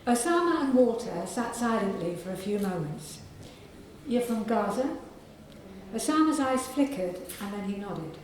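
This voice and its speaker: A woman with a soft, croaky voice, an author reading aloud from her novel to an audience in a library. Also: mid-range voice, animated, heavy reverb, slight background noise, rough recording. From England. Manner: measured reading with clearing of the throat.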